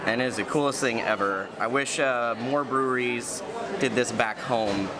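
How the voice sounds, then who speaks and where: A man's voice: mid-range voice, moderate pace. A man in his thirties casually reviewing beers at a brewery cafe, chatty and enthusiastic with lots of fillers. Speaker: beer reviewer. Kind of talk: casual beer tasting review. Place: busy brewery cafe indoors. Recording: loud cafe crowd behind. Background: crowd chatter.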